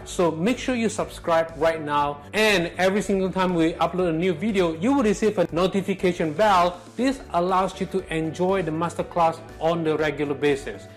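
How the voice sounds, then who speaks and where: Host promo, man, middle-aged, upbeat and salesy, light background music. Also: a little high. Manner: energetic, salesy. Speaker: channel host. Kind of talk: scripted promo to camera. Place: indoor living room.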